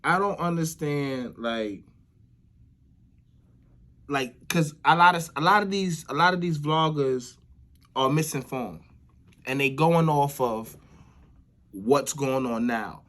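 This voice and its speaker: A man's voice: a little high, slow, long pauses, fairly bright tone. A man with an American accent giving casual spoken commentary as the host of a vlog. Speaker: vlogger hosting commentary show.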